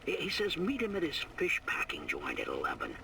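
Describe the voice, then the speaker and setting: A gravelly, bitter working-class man for a game audio diary. His delivery swings widely in pitch, with sardonic muttering. He sounds far from the mic on a noisy old recording. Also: wildly swooping pitch, brisk. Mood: cautiously hopeful. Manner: slangy, sardonic, muttering with sighs and chuckles.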